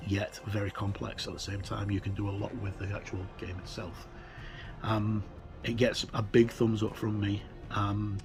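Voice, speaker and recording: A middle-aged or older man giving a casual, unscripted look through a book as a tabletop game reviewer, in a rambling, hesitant way with many pauses. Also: on the low side. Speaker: reviewer and presenter of tabletop games. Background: ambient music with wind sounds.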